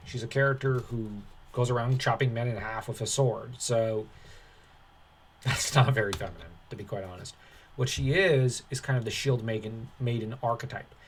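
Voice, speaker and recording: A man hosting a commentary channel, talking casually and haltingly, with a dismissive, sarcastic streak. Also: mid-range voice, husky.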